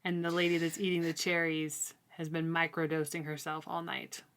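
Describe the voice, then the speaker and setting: A woman co-hosting a casual, informal book discussion, talking in a halting, conversational way. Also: low-pitched, little pitch movement, a bit bright. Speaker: co-host of a book read-along discussion.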